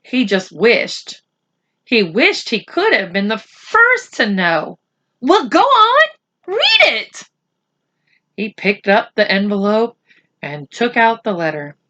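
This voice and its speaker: A woman reading a story aloud to children expressively and deliberately, with teacherly asides. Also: medium pitch, sing-song, sharp tone.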